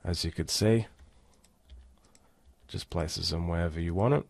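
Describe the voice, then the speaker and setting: A man giving a casual, step-by-step software walkthrough as an instructor. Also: low-pitched, measured pace, no room sound. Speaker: tutorial instructor. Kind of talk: screen-recorded software tutorial, casual walkthrough.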